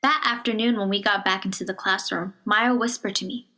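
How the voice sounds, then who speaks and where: A woman reads a children's picture book aloud in a measured, expressive storytelling manner, dropping to a hush on some phrases. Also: medium pitch. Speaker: storybook reader.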